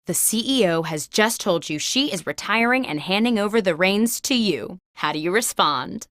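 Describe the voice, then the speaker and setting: A woman playing a video game host who poses workplace questions like an office interviewer, in scripted, clearly enunciated prompts. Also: medium pitch, animated, moderate pace, fairly bright tone, dry recording, studio quality, natural voice. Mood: composed, quizzical.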